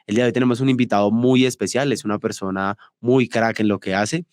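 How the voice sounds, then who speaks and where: A man's voice: fairly low pitch, fairly even intonation, fast talker, darkish tone, dry recording, studio quality. A man hosting a podcast interview, upbeat and keen, with frequent short "súper" replies as his guest answers. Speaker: podcast host.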